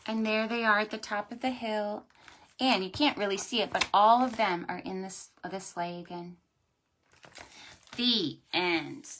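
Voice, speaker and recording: A woman hosting a preschool storytime, reading aloud and chatting to young children in an expressive, sing-song way. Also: medium pitch, a little slow, slight background noise. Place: at home or indoors, livestreamed.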